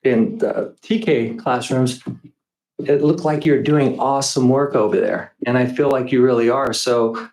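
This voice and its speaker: A man's voice: medium pitch, measured pace, darkish tone, roomy sound, poor audio. Hesitant man giving a board report. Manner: hesitant, with repeated starts. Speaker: school board trustee. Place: school board meeting room.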